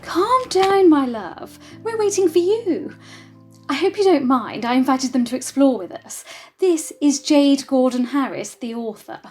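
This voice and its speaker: A woman's voice: high-pitched, lively intonation, unhurried, darkish tone, soft background music. An actress playing a poised, sociable titled lady with coolly polite, genteel manners in an audio drama. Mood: gently chiding.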